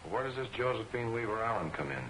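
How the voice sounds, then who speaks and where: Shrewd editor for a 1940s serial male actor: businesslike, fast, commanding, over noisy old film sound. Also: mid-range voice, fairly bright tone, lightly acted. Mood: probing, firm. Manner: clipped, businesslike. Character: shrewd, brisk, authoritative male newspaper editor. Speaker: newspaper editor.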